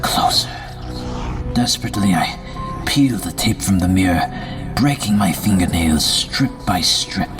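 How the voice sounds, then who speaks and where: An actor playing a haunted, feverish asylum founder in a gothic audio drama, brooding and theatrical, often dropping to a whisper. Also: male voice, deep voice. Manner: slow, brooding, theatrical, lapsing into hushed whispers. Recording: distant mic under loud music.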